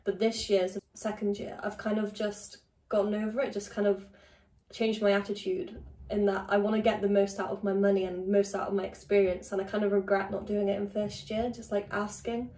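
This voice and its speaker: Chatty young woman, mellow voice. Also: medium pitch, little pitch movement, brisk. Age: young adult. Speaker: student co-host. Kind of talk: casual chat. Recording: echoey room.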